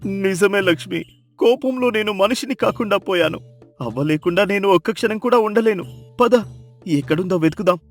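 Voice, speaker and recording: A man plays a village husband in a moral tale, his voice fairly high. He is hot-tempered and deeply remorseful, speaking melodramatically with music underneath. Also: normal speed. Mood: regretful. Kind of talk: melodramatic moral-story dialogue.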